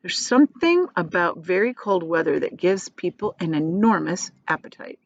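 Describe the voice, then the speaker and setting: A woman's voice: lowish voice, lively intonation, normal speed, vocal fry, no echo. Woman reading a storybook, slightly muffled. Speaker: teacher reading aloud. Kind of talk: expressive children's storybook narration.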